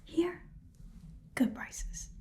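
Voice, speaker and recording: A woman voicing a shady, cheerful goblin pawn shop keeper in a breathy ASMR whisper, with casual, rambling sales patter. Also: drawn-out words, dark tone. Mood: eager, salesy. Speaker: ASMR role-play performer. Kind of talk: whispered ASMR shopkeeper role-play.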